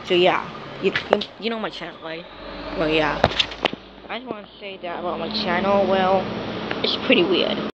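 A woman talking casually to viewers as a video maker, halting and repeating words, recorded at home. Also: lowish voice, steady tone, highs cut off. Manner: halting, repetitive. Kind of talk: casual vlog talk to viewers. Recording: noisy handheld home recording.

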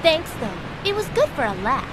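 A woman's voice: high voice, big pitch swings, normal speed, noisy background. A woman playing a haughty, sassy young female racer in a video game cutscene, taunting and condescending. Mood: mocking. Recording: loud music behind the voice. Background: loud music.

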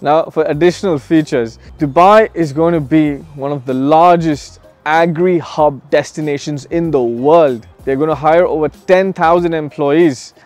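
A man's voice: fairly high pitch, unhurried, few pauses, darkish tone, soft background music. A man giving an emphatic, salesy promotional presentation as a real estate agent, putting questions to the viewer.

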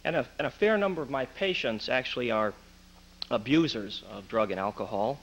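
A hoarse man in his thirties, a physician, speaking at a town council public comment from a podium mic, earnest and clearing his throat often. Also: medium pitch, lively intonation, fairly quick, fairly bright tone.

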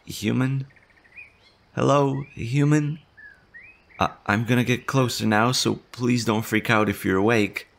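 A man's voice: medium pitch, fairly even intonation, measured pace, vocal fry, fairly bright tone. A man voicing a nervous, shy male slime monster in an audio roleplay, stammering and fretful as he tends to someone. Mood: worried. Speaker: voice actor.

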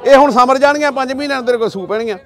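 An older man with a Punjabi accent, a goat seller bargaining loudly at an outdoor market, pushy and talkative. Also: extremely high pitch, racing, some chatter behind. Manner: loud, pushy seller's patter, calls people "shera". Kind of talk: casual bargaining chat.

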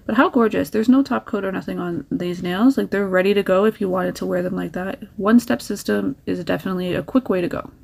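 A woman's voice: medium pitch, fairly quick, creaky, few pauses, a little mellow. A woman talking casually through a nail art tutorial, chatty and encouraging, sharing little tips as she works.

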